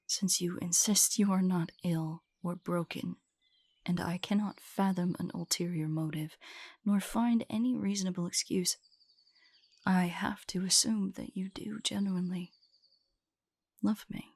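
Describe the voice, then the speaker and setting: A woman playing an ancient, guarded female drider in an intimate audio roleplay, speaking in a formal, measured, haughty way with a husky, breathy voice. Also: lowish voice, steady tone, a little mellow, no room sound. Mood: wary, grudging.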